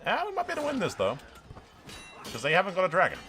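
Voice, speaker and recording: A man giving excited, sweary video game commentary with a theatrical, roleplaying streak. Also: high voice, fast talker, a little hiss or hum.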